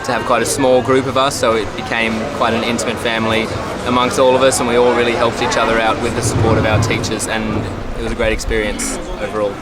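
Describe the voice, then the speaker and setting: A man's voice: medium pitch, flat delivery, fast. A man speaking steadily about his time as a photography student. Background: music.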